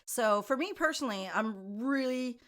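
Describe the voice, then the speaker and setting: A woman's voice: a little high, lively intonation, normal speed. A woman co-hosting a casual podcast, reacting enthusiastically and laughing often. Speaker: podcast co-host.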